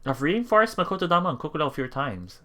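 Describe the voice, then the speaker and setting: A man hosting a let's play, reading game text aloud with casual, halting reactions and repeated words. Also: on the high side, sing-song, pushed and bright. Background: game music.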